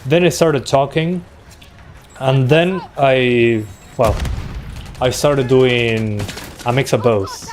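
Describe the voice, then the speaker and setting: A young man talks in a relaxed, chatty way as he streams a shooter from his home setup. His voice sits in an ordinary middle range. Faint gunfire from the game runs underneath. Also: medium pitch, takes it slowly, pauses often, slight background noise. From Uruguay. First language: Spanish. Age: 20s. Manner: relaxed, chatty, answers chat between fights. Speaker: tech streamer. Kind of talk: casual live-stream commentary while gaming. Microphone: desktop USB microphone.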